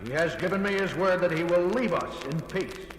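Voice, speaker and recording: A man's voice: high voice, normal speed, roomy sound, big-room sound, slight background noise, lightly acted. An actor playing a treacherous, scornful and defiant tribesman in a 1970s record drama. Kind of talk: 1970s children's record audio drama acting.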